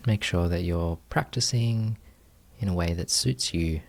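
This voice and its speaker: Male meditation guide, deep register, very slow delivery, gentle and spacious phrasing. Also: gravelly. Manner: gentle, unhurried, pausing between short phrases. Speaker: meditation teacher.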